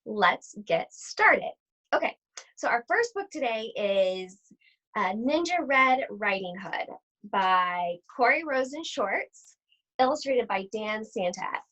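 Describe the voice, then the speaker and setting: A woman reading a children's picture book aloud to schoolchildren in an animated, theatrical storytelling manner. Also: fairly high pitch, takes it slowly. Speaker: read-aloud host at an elementary school. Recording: dull, lo-fi sound.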